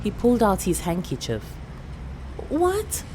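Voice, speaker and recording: Low-pitched South African woman narrating a story. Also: animated, unhurried, a little mellow, slight background noise. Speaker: actor reading a short story. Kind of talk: dramatic literary narration.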